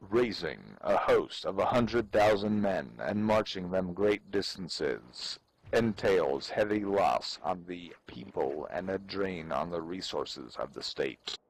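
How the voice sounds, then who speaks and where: A man giving a theatrical, portentous dramatic reading of an ancient military treatise, with playful asides. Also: low-pitched. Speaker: amateur reader performing for a live audience of gamers. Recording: clearly noisy background.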